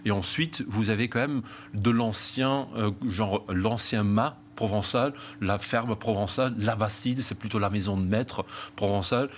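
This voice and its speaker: A middle-aged man with a Flemish accent and a low voice, answering interview questions in halting bursts with plenty of fillers. A faint hum of room noise sits behind him. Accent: Belgian Flemish. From Belgium (Flanders). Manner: hesitant, many fillers and restarts. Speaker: real estate company founder.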